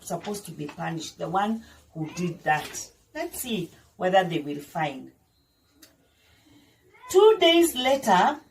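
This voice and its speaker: Slow, very animated woman reading a children's story. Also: a little high. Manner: didactic, repeats and explains for young listeners. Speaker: teacher reading a story aloud. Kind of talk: read-aloud children's story with simple explanatory asides. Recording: distant microphone, music behind the voice. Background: music.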